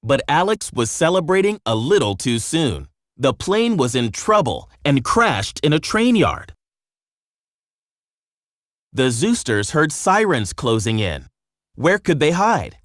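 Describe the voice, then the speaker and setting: Animated male children's storybook narrator. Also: a little high, slow, dry recording, studio quality. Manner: expressive storytelling.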